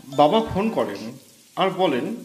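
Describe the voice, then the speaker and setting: A man's voice: a little high, big pitch swings, slow pace, a little mellow. A man narrating a scripted first-person horror story in a dramatic storytelling manner. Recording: echoey voice over background music.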